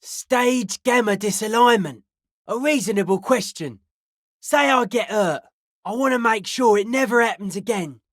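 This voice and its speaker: A woman voicing a suspicious, aloof young hardcore raver in a video game, speaking in deadpan, clipped, cryptic pronouncements. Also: fairly high pitch, moderate pace, dry recording, studio quality. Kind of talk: video game character dialogue.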